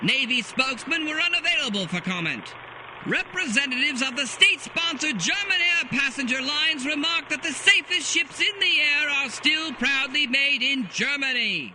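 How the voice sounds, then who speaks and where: Vintage newscaster: very high pitch, declamatory, urgent bulletin style, clearly noisy backdrop. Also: male voice, lively intonation, normal speed. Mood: urgent, formal. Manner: formal, declamatory. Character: urgent 1930s male radio newsreader. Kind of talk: 1930s newscast delivery.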